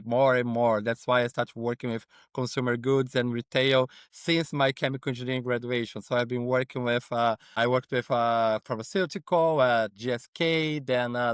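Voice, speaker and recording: A man's voice: medium pitch, a bit bright, clean tone, dry recording, studio quality. A man from Brazil with a Brazilian accent, a native Portuguese speaker, giving conversational interview answers in non-native English and often checking in with "right?". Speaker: podcast guest, innovation consultant.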